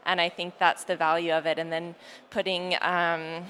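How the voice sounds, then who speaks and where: Lowish woman's voice, panel talk, kinda rambly, bit of room hum. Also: flat delivery, moderate pace, a bit bright. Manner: rambling, many fillers. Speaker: panelist and editor. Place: conference hall panel.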